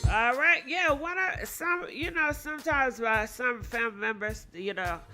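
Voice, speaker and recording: A woman's voice: a little high, normal speed, over loud music. A woman hosting a casual live talk show, her nose stuffed up, talking frankly and swearing as she muses aloud. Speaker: host.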